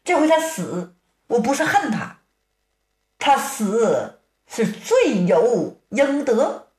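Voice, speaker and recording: A woman voicing a calm, righteous young male hero who speaks in a measured, reasoning way. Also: a little high. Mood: persuading. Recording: roomy echo.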